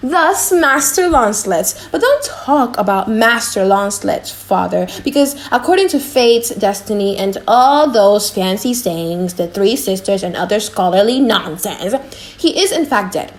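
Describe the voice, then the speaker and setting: A woman's voice: mid-range voice, lively intonation, a little slow. A woman voicing a mischievous, cocky, chatty young male servant in a dramatized audiobook, teasing and mock-grand.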